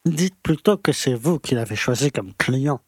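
A man's voice: medium pitch, expressive, moderate pace, slightly rough, no echo, studio quality. A man playing a pompous, condescending and evasive small-town mayor in an audio drama. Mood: dry, dismissive. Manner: pompous, patronizing.

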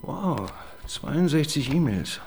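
A man's voice: fairly high pitch, big pitch swings, takes it slowly, darkish tone, a little hiss or hum, heavily acted. A man playing an eager but sceptical junior detective in a radio crime drama, deferential yet questioning. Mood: expectant. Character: eager, sceptical male junior police detective assisting his boss. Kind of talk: naturalistic German radio drama dialogue.

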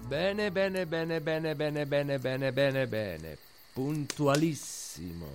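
A man's voice: on the high side, animated, drawn-out words, soft background music, exaggerated voice. A man playing a sly robber, mock-caring and menacing, in an Italian radio play. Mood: gloating. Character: sly, mock-sweet male robber and bully. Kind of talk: Italian radio theatre acting.

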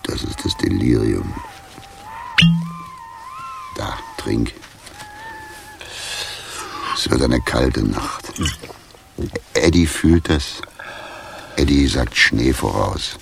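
An actor playing a blunt, mocking homeless man in a radio play, with a gravelly voice. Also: wildly swooping pitch, extremely slow, long pauses, faint music behind, exaggerated voice. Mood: gruff, weary. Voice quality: very deep, gravelly. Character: coarse, sardonic homeless drifter, ex-lathe worker from Kreuzberg. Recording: 1980s radio drama with faint noise.

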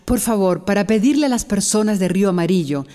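A woman playing a calm, mellow doctor. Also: mid-range voice, in a large hall, close to an everyday voice. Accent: Costa Rican. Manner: calm, measured advice. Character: female head doctor of emergency committee. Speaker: emergency committee doctor. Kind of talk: radio drama acting.

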